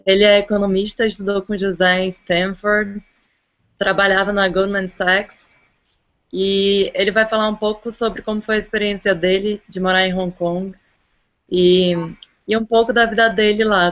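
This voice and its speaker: An interview host who introduces the guest and asks questions with halting starts. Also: medium pitch, fairly bright tone. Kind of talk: interview hosting. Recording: muffled lo-fi recording.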